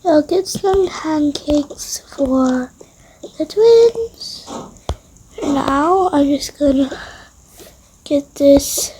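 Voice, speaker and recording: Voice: high-pitched, lively intonation, very slow, long pauses, warm tone, slight background noise, low-quality recording. A player improvising a roleplay story over a game, murmuring along as if talking to themself. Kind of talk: improvised roleplay narration over a toy-house game, voicing family members.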